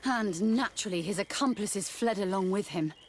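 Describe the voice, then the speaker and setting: An actress playing an earnest, principled scholar-priestess in a video game cutscene, formal and emotive. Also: female voice, medium pitch, moderate pace, a little hiss or hum, lightly acted. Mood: sorrowful.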